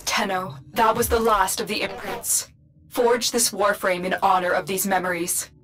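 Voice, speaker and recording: A woman's voice: mid-range voice, flat delivery, moderate pace, lightly acted. Solemn female game mission guide voiced by a woman, music behind. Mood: mournful. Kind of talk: scripted game mission dialogue. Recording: loud music under voice. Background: loud game music.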